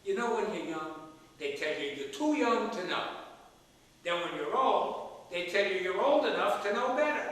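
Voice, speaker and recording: A man acting as an elderly father in a stage play, roguish, stubborn and full of affection. His voice is bright and very animated as he pleads and persuades. It is heard from far off in a reverberant theatre. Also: high-pitched, normal speed. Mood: impassioned. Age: older. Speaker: stage actor. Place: theatre stage, recorded from the audience area. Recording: echoey theatre hall, distant mic, faint noise.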